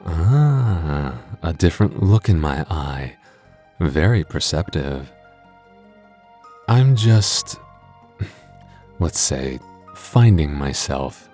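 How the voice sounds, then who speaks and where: Slow, very low, coy male ASMR host, in character. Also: very animated, warm tone, faint music behind, heavily acted. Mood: playful. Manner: coy, playful, flirtatious. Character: coy, flirty masked male host of an audio dimension. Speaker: audio roleplay host. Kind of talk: ASMR outro addressed to the listener.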